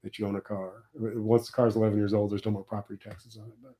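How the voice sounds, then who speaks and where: A man's voice: lowish voice, flat delivery, fast, mellow. A middle-aged man from Nebraska, sniffly from a cold, complaining wryly in a rambling live chat with his viewers. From Nebraska, USA. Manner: rambling, wry complaining about taxes. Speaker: livestream host.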